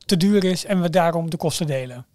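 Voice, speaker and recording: A man with a notably high voice chats as a podcast co-host. He is casual and agreeable, readily affirming. The sound is close and dry. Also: fairly quick, darkish tone, dry recording. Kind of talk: casual chat.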